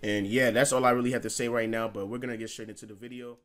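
A young man giving casual gameplay commentary with friends, excitable and foul-mouthed, slangy and often repeating himself. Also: mid-range voice, fast. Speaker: gaming YouTuber.

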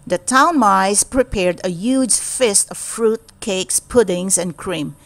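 Woman reading a kids bedtime story, takes it slow, middle pitch, expressive. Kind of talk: children's storybook read-aloud.

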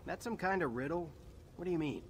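An actor playing an earnest, plain-spoken human soldier hero in a video game, talking casually and matter-of-factly. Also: male voice, on the high side, expressive, a little fast, slight background noise. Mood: determined. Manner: casual, colloquial, matter-of-fact. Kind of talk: video game character acting.

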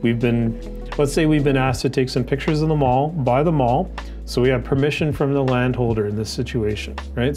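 A man's voice: mid-range voice, a little mellow. A middle-aged man giving a conversational tutorial as an instructor, often asking questions and answering them himself. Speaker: drone training instructor. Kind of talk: explanatory tutorial, walking through regulations on screen. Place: indoors in an office by a window. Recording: music playing behind the voice.

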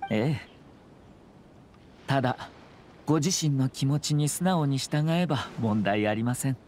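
An actor playing a courteous, composed young noble commissioner in an anime game, speaking in measured, formal politeness. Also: male voice, mid-range voice, a little mellow, slight background noise, close to an everyday voice. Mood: earnest, reassuring. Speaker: government commissioner.

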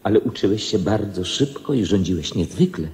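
A man's voice: sits low, animated, a little fast, dark tone. A man playing a defeated young king in a radio play, penitent and pleading, with formal speech. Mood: remorseful. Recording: long reverberant echo.

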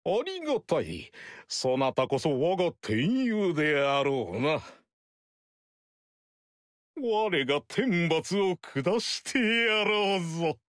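Pompous heaven-preaching sorcerer, booming, slow and dry. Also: male voice, a bit bright, no room sound, heavily acted. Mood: proclaiming. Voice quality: booming archaic proclamations. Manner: solemn and pompous, slow declaiming. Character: grandiose male cult leader sorcerer, self-proclaimed voice of heaven. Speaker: Zhang Jiao. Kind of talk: game voice acting.

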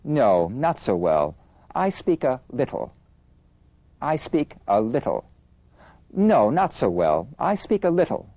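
A man models a practice conversation for learners, speaking each line slowly and stressing key words. His pitch moves a lot to show intonation. The recording is dry and close. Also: sing-song, mellow, dry recording. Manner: repeats lines slowly, stressing words clearly. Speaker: English teacher modeling dialogue. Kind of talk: slow dialogue practice.

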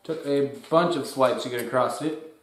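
A man's voice: mid-range voice, normal speed, echoey room. A young man casually talking through tests in his garage workshop, thinking aloud as he works, recorded by a camera across the room. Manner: plain, thinking aloud while working. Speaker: DIY tester. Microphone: far camera.

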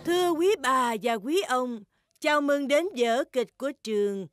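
A polite schoolteacher animal in a children's cartoon. She announces in a high, formal voice over prominent music. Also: expressive, measured pace. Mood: polite, announcing.

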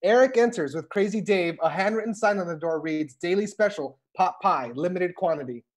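A woman's voice: lowish voice, a little fast. A woman reading scripted stage directions as narration for a table read. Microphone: video call.

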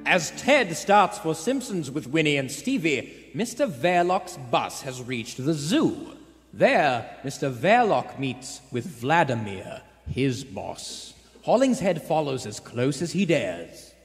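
A man's voice: a little high, very animated, slow, exaggerated voice. A man playing the narrator of a suspenseful vintage radio play. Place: theater stage before a live audience. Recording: echoey theater stage, music behind.

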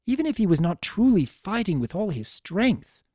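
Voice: mid-range voice, big pitch swings, normal speed, darkish tone, no room sound, clean studio sound, pitched above the performer's own voice. A narrator voicing a regal, intense female pony princess with a formal, archaic, questioning manner. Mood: incredulous.

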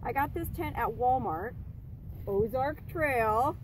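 A woman's voice: fairly high pitch, lively intonation, slow pace. A woman in her thirties chatting bluntly and casually about camping gear, swearing freely, at an outdoor campsite. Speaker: vlogger. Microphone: camera a few feet away. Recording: distant mic with outdoor noise.

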